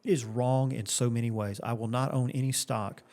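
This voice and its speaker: This is a man in his late fifties from Florida who speaks as a candidate for Congress. His voice sits at a middle pitch. He answers in an earnest way, with many restarts and repeated phrases. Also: fairly even intonation, fairly quick, darkish tone. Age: 50s. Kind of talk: interview answers.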